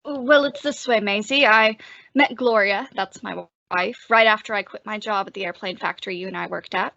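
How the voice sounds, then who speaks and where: A woman's voice: medium pitch, moderate pace, vocal fry, sharp tone, no echo. A woman playing an earnest, lovelorn young American husband in a folksy 1940s radio comedy manner. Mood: rueful, earnest. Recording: dull, dry lo-fi recording.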